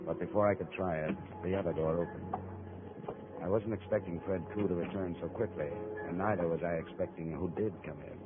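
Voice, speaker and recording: An actor playing a tough, wry American private investigator in a 1950s radio drama, terse and deadpan. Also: male voice, deep voice, fairly quick, music in the background, lightly acted. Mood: wry, tense. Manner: terse, hard-boiled, deadpan. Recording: muffled old 1950 radio broadcast recording.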